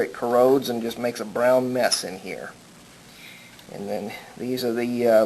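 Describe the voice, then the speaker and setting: A man narrating a casual hands-on show-and-tell as a collector, explaining things in a deliberate way. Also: medium pitch, a little mellow. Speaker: collector showing a vintage radio. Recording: clearly noisy amateur recording.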